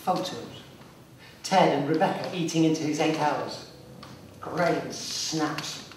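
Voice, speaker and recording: A man narrating a scripted dramatic story live, in a measured, deliberate way. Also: a little high. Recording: distant, very echoey hall, clear noise.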